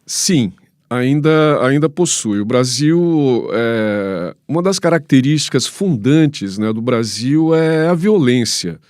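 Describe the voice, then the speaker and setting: A Brazilian man speaks as an academic guest in a dry, close-sounding recording. His voice sits at a medium pitch, and he explains didactically, often stopping mid-phrase to find the next word. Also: expressive, darkish tone, no echo. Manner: halting, didactic, pausing mid-phrase to search for words. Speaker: history professor, guest commentator. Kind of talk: expert interview answers.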